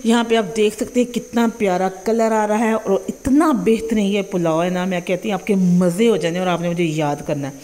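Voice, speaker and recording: A woman's voice: mid-range voice, steady tone, a little fast, few pauses, darkish tone. A young woman with a Punjabi accent, hosting a home cooking tutorial in a chatty manner and often checking in with "theek hai ji". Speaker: cooking channel host. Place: home kitchen.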